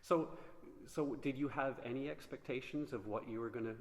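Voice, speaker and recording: A man's voice: medium pitch, moderate pace, long reverb tail. A man conducting an informal, joking interview, jumping in with follow-up questions.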